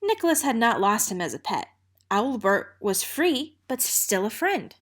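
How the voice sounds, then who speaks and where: A woman narrating a children's picture book in an expressive storytelling manner. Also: a little high, unhurried. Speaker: read-aloud narrator.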